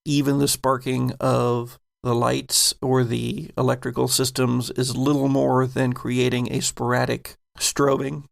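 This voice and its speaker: Male game master, unhurried and gravelly, descriptive horror sci-fi storytelling. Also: medium pitch, flat delivery.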